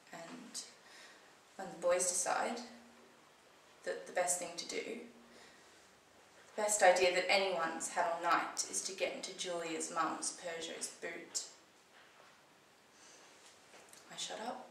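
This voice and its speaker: A young woman acting a conversational monologue as a shaken, defensive teenage girl, telling her story in colloquial, halting bursts. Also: fairly low pitch, steady tone, fairly bright tone, a little hiss or hum. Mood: subdued, uneasy. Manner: colloquial, swearing, halting then breathless retelling. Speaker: acting student performing a monologue. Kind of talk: dramatic screen-acting monologue, conversational first-person storytelling. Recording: echoey room, slightly distant microphone.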